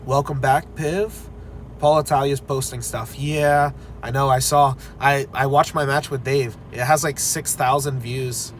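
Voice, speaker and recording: A man's voice: mid-range voice, steady tone, measured pace, slight background noise. A young man with a stuffy cold, rambling casually through viewer comments on a live stream. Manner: rambling, filler-heavy, candid. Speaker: arm wrestler streaming a live recap.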